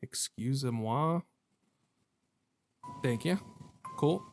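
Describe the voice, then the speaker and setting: A man's voice: mid-range voice, animated, extremely slow, gravelly, faint music behind. Wry man doing casual gaming commentary. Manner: wry, jokey, thinking aloud. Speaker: gaming video host. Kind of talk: casual let's-play commentary. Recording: game ambience and noise behind voice.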